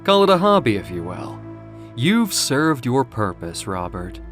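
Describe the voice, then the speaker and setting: A man's voice: medium pitch, very animated, unhurried, music in the background. A man voicing a cruel, gloating villain in an audio drama, with a theatrical, taunting, mock-tender delivery. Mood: coldly amused.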